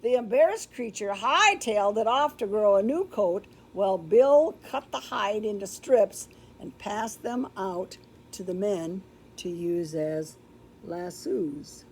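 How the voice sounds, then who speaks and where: An older woman reading a children's story aloud in a deliberate, expressive way, with explanatory asides. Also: medium pitch, slight background noise. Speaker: storybook reader. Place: indoor room with books, like a classroom or library.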